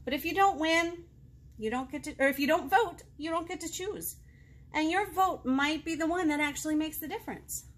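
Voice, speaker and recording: An American woman with a high voice reads and chats as a children's librarian. She speaks expressively and keeps her phrasing simple, as if to a group of young children. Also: normal speed, a bit bright. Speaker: children's librarian hosting storytime. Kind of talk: children's storytime read-aloud and chat. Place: small indoor room, seated before a book display.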